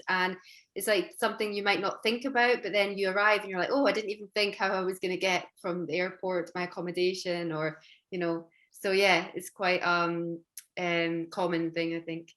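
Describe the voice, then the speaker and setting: A woman's voice: medium pitch, a bit bright. A woman hosting an interview on a video call, chatty and full of fillers. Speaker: host, careers adviser.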